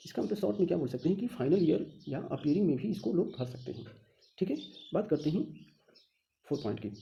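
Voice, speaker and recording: Higher-voiced Indian man explaining, very muted dark tone. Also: creaky. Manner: instructional, poses questions then answers them, frequent check phrases. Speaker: online teacher explaining a job exam notification. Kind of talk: informal explainer lesson.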